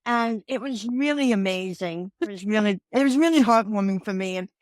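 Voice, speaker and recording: A woman's voice: medium pitch, a little fast, no echo, clean studio sound. A woman in her forties with a New York accent, a podcast guest and confidence coach telling her story earnestly, often restarting her sentences. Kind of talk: podcast interview.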